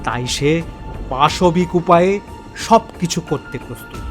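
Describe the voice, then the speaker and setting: A man acts a bewildered male narrator telling his own horror story. His slightly high voice moves slowly and haltingly, theatrical and full of suspense. Faint music runs beneath him. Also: lightly acted. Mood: suspenseful, bewildered. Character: bewildered man haunted by forgotten childhood memories. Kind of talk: dramatic first-person horror story narration.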